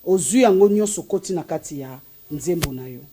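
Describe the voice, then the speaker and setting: A Congolese man with a Congolese French accent, hosting an interview and asking slow, probing questions. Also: fairly high pitch, expressive, dark tone, a little hiss or hum. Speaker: host.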